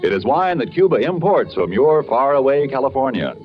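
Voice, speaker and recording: A man's voice: mid-range voice, sing-song, brisk. A man announcing a scripted 1940s radio commercial in a polished, formal sales style. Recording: dull vintage radio broadcast, music behind. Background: music.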